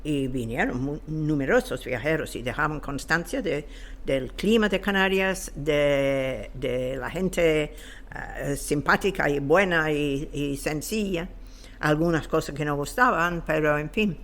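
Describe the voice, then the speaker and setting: A woman, explaining history as an interview guest in a hesitant, halting way as she searches for words. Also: low voice. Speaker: guest expert on local history. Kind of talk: unscripted explanatory talk.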